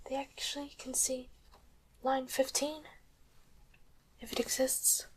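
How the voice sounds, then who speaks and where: A woman playing a calm, courteous demon doctor in a whispered ASMR exam roleplay, giving slow, gentle, attentive instructions in a soft, breathy voice. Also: high voice. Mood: calm, intrigued. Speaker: ASMR performer.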